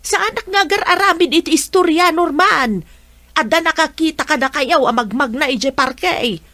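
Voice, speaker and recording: A woman's voice: high voice, moderate pace, pushed and bright, dry recording. A woman with an Ilocano accent acting a stern, accusing mother in a radio drama.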